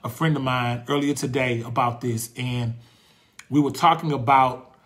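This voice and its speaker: A man in his forties hosting a faith talk, speaking passionately in a preacherly way, repeating phrases for emphasis and pausing to search for words when he turns reflective. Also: medium pitch, fairly even intonation, a bit bright. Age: 40s. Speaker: host.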